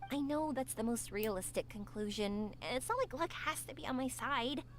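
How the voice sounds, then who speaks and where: A woman's voice: high voice, lively intonation, moderate pace. A woman voicing a cheerful, earnest young woman who speaks reflectively. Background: game music.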